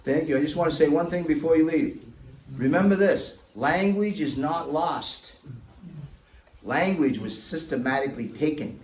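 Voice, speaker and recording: A man's voice: a little high, normal speed, darkish tone. A man giving an impassioned, emphatic public talk to an audience, his voice sweeping up on key words. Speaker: guest speaker. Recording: echoey hall, mic far off, faint noise.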